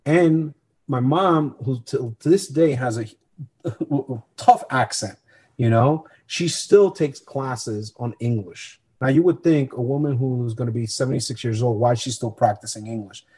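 A middle-aged man raised in New Jersey speaks as a guest in an interview. His delivery is conversational and earnest, with a medium-pitched voice that moves along like personal storytelling. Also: a little slow, mellow, breathy edge. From born in Colombia, grew up in New Jersey.

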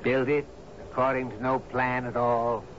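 Actor as a loyal, hearty young comrade. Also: male voice, mid-range voice, level tone, normal speed, fairly bright tone, natural voice. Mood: loyal, reminiscing. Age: 20s. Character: loyal, agreeable young former comrade of a captain. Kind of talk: radio drama acting. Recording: dull, noisy 1970s radio recording.

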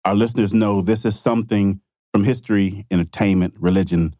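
A man's voice: fairly low pitch, fairly even intonation, brisk, mellow, dry recording, clean studio sound. A man co-hosting a podcast, joking and teasing his guest in casual talk. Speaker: podcast co-host.

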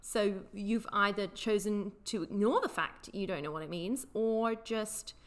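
A woman's voice: mid-range voice, measured pace. A woman co-hosting a podcast, casually explaining slang words in a teasing, playfully correcting way. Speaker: podcast co-host.

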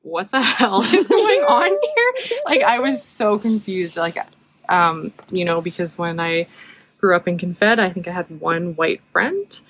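A woman's voice: lowish voice, very animated, measured pace, fairly bright tone, slight background noise, rough recording. A woman with a Canadian accent telling personal stories casually, hesitating often as she goes.

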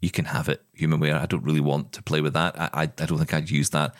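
Podcast co-host, male, low voice, loose rambling delivery, close dry mic. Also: little pitch movement, fast talker, no echo. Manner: rambling, repeats words when starting sentences. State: blind, describing progressive vision loss. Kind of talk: casual chat.

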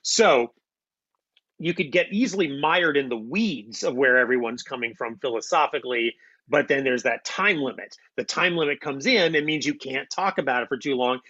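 A man's voice: medium pitch, pushed and bright, muffled recording. A man explaining his craft conversationally, as a writer being interviewed. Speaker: playwright and audio drama writer.

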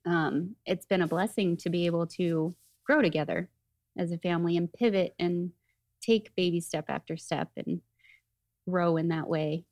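A woman guest who speaks earnestly and reflectively. Her voice is fairly low for a woman and sounds dry and close, with no room echo. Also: steady tone, normal speed, darkish tone. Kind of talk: interview.

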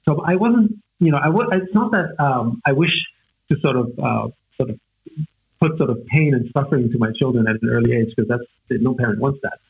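Raspy, gravelly man on a tinny line. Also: mid-range voice, lively intonation, brisk. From Austria. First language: German. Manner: hesitant, many fillers and "right?" tags. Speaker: guest. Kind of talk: reflective conversation.

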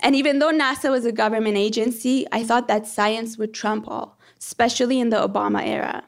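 A young woman from Iran, a PhD candidate, telling a personal story on stage in an earnest, measured and reflective way. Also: a little high, fairly even intonation, creaky.

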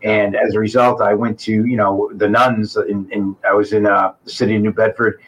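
A man's voice: fairly low pitch, level tone, moderate pace, slightly rough, rough recording. A man, an assistant superintendent, explaining school programs earnestly as an interview guest, listing points one after another.

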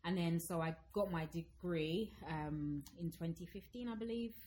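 A woman in her thirties from Zambia with an English accent, a guest telling her story in a lively way and acting out what people said. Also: on the low side, moderate pace, a little hiss or hum. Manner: lively storytelling, acts out quoted speech. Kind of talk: casual conversation.

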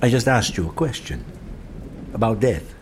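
Grave, low-voiced fatalistic man played by an actor. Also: moderate pace, a little hiss or hum, close to an everyday voice. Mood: earnest, insistent. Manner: grave, deadpan. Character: fatalistic Venetian man desperate to escape death. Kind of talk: audio drama acting.

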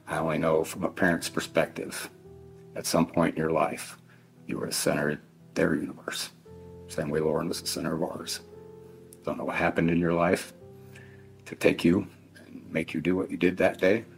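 Middle aged dad giving a victim impact statement, real deep n gravelly, stern, slow deliberate pauses. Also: male voice. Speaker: bereaved father addressing his child's killer. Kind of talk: victim impact statement addressed to one person.

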